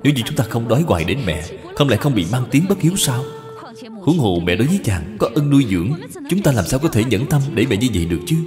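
A man voicing a gentle, dutiful young wife in a cartoon moral tale, speaking formally and persuasively. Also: medium pitch, sing-song, fast, lightly acted. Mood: earnest, caring. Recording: long reverb, music behind.

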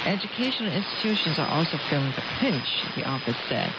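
Loud static hiss, dull shortwave radio, woman news presenter, mid-pitched, scripted delivery. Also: big pitch swings, fast. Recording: hissy, noisy, dull shortwave radio reception.

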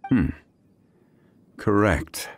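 A man playing a composed, erudite gentleman in a video game, speaking in a measured, formal, analytical manner. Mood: calm, pensive. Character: composed, erudite gentleman investigator, male, young-looking, calmly analytical.

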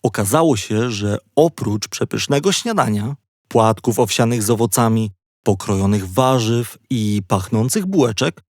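A man's voice: fairly low pitch, lively intonation, moderate pace, darkish tone, no room sound, studio quality. A man reading a children's audiobook, telling it conversationally with playfully wry asides. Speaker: audiobook narrator. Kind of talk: scripted narration of a children's audiobook.

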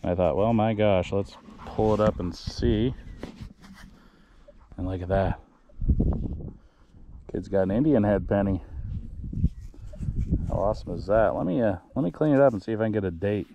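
A man hosting a metal detecting video, chatting casually and getting excited over each find in a grassy field. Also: lowish voice, normal speed, long pauses. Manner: upbeat, easily excited, thinking aloud. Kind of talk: casual narration while digging. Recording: outdoor recording with clear background noise.

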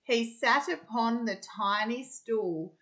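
An Australian woman in her thirties reading a picture book aloud to children in an expressive, sing-song way. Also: fairly high pitch. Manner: deliberate, sing-song storytelling delivery. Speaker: primary school teacher reading storytime. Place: home kitchen, indoors.

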